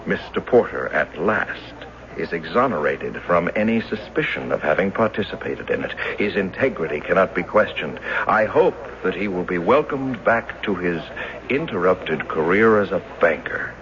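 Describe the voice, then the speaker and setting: An American actor playing an earnest, determined former banker demanding justice in a radio drama, speaking deliberately and formally. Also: male voice, low-pitched, expressive. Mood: firm, aggrieved. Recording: dull noisy vintage radio drama recording.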